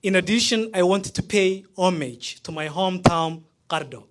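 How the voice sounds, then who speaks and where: A man in his thirties from Somalia, speaking with a Somali accent as he gives a prepared, heartfelt graduation speech, pausing between phrases. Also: high-pitched, noisy background. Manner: measured, heartfelt delivery with pauses between phrases.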